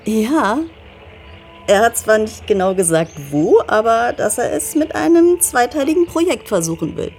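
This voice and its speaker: An actress playing a forthright, gently challenging wife and mother in an intimate radio drama. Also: fairly high pitch, very animated, moderate pace, soft background music. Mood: frank, probing.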